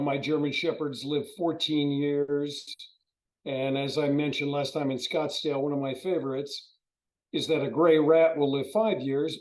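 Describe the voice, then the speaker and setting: A man in his seventies, hoarse from a cold, giving a folksy, expansive presentation over a video call. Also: a little high, a little mellow, rough recording. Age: 70s. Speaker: guest speaker.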